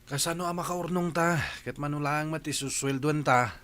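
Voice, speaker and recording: An actor with a Filipino accent playing a stubborn, spendthrift young boyfriend in a radio drama, defensive and dismissive. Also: male voice, on the high side, normal speed, a bit bright, close to an everyday voice.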